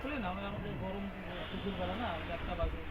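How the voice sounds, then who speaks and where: A man's voice: high-pitched, quick pace. A man chatting casually outdoors and giving easygoing directions and advice. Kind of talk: casual chat. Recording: loud outdoor noise with passing traffic.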